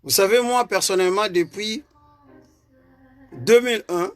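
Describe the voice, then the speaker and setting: A man's voice: high-pitched, a little slow, faint music behind. Earnest Congolese French-speaking man. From Congo (DRC). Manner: earnest, hesitant, repeats words. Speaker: political party vice-president. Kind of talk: political commentary monologue.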